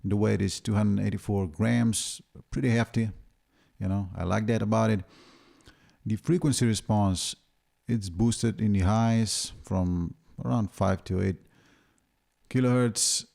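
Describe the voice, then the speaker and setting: A man with a deep, boomy voice giving a relaxed, chatty gear review full of filler words. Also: a little slow, gravelly. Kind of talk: casual product review.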